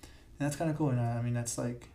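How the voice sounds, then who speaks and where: Raspy young man rambling on his podcast. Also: medium pitch, steady tone, quick pace, dark tone. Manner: rambling, filler-heavy, self-correcting. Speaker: podcast host. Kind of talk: casual solo podcast talk. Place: large echoey room. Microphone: podcast mic.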